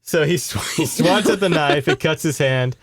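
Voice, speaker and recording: A man's voice: a little high, moderate pace, no room sound, studio quality. A man hosting a podcast, retelling a book in casual, conversational chat and checking in with his listeners. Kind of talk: casual story recap chat.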